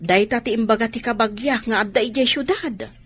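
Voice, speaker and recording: A woman's voice: on the high side, brisk, husky. A woman with an Ilocano accent playing a nosy, alarmist village neighbour in a radio drama, fretful and cautioning.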